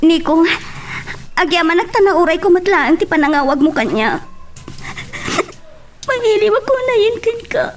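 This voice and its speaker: A woman's voice: very high voice, expressive, normal speed, pauses often, over music. A woman with an Ilocano accent playing a hurt, pleading woman in a family love drama, with emotional delivery. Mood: earnest pleading. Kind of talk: Ilocano radio-style drama acting.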